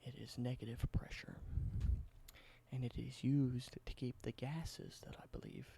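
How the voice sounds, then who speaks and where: A man doing a whispered ASMR roleplay, explaining things slowly and correcting himself now and then. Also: mid-range voice, flat delivery, vocal fry, slightly rough. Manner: soft whisper, slow explanations with small self-corrections. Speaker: ASMR creator.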